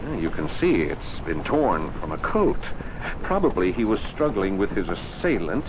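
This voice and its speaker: A shrewd, exasperated colonial investigator in a radio mystery. His fairly low voice is lively, probing and argumentative. The old recording has clear noise. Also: moderate pace. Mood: impatient, probing. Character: exasperated, shrewd colonial gentleman amateur detective. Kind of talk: 1970s radio drama acting.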